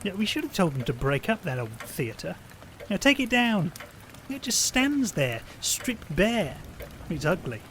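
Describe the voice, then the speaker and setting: A man's voice: sits high, very animated, unhurried, fairly bright tone, slight background noise, exaggerated voice. A man playing a timid, pleading young husband and father in an audio drama, hesitant and awkward in manner. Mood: gloomy. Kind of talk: naturalistic audio drama acting.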